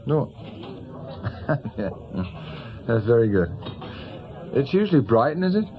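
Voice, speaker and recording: An actor playing a brisk, self-assured senior British police officer in middle age, matter-of-fact and rather patronising. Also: male voice, medium pitch, big pitch swings, lo-fi sound. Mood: affable. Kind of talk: naturalistic radio drama acting.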